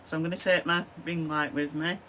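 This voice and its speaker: Hoarse lancashire lady in her 50s rambling to her camera at home, chesty cough, lots of ums, bit of faint hiss behind. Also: deep voice, steady tone, brisk, fairly bright tone. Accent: Lancashire English. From Lancashire, England. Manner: rambling, with many "um, so yeah" fillers. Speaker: craft vlogger. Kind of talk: casual chatty to-camera vlog. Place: small home craft room indoors. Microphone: camera at desk, close framing.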